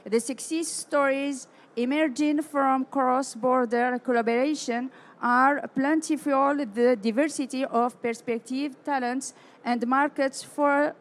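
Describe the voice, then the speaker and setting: A woman from Algeria delivers a prepared talk at a conference panel. Her voice sits high, and she pauses and restarts often, leaning on fillers between phrases. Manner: halting, many fillers and restarts. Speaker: panel speaker.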